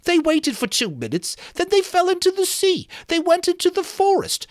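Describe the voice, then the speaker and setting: A shrill, high-pitched schoolboy outcast in an audiobook. He whines and protests indignantly, scolding the others, his pitch leaping as panic creeps in. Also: huge pitch swings, normal speed, no echo, studio quality, full character voice. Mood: defensive, indignant. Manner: shrill, whining, protesting, gasping delivery. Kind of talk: dramatic audiobook reading of a novel.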